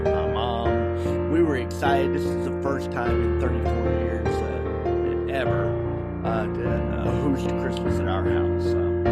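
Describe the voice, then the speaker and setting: A middle-aged American man talking casually as a podcast host, halting and meandering, a bit croupy from a cold. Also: medium pitch. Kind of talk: casual personal podcast monologue.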